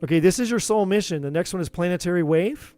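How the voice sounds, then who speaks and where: A man doing a live tarot reading, talking in an earnest, encouraging way with many repeats, his voice going a bit hoarse. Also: high-pitched, fast talker, darkish tone, dry recording. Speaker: tarot reader.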